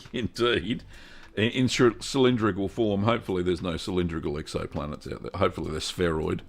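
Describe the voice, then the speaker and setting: A middle-aged man hosting a casual cheese-making livestream, chatty and upbeat, reading out viewer comments and filling pauses with ums. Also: low voice, fairly even intonation, vocal fry. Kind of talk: casual live-stream chat.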